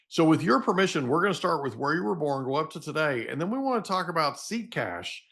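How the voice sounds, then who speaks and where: A man's voice: on the high side, sing-song, fast talker, creaky, fairly bright tone. A man hosting a podcast interview, asking questions and giving friendly, short replies. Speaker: podcast host.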